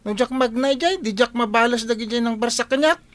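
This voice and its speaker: Woman doing hot-headed ilocano village kid, high boyish voice, stubborn and excitable, radio comedy. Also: fairly quick, natural voice. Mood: defiant, vengeful.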